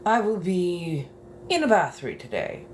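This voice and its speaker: Slow, flirtatious woman streaming from a bathroom. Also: fairly low pitch, sing-song. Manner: flirtatious and theatrical, calls viewers darling. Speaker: livestream host. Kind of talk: casual livestream chat while getting ready. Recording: clear background noise.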